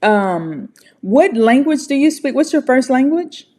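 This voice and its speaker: A woman's voice: sits high, very animated, dark tone. An American woman teaching English pronunciation in a casual online lesson, friendly and encouraging. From United States. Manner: friendly, encouraging, slow and deliberate.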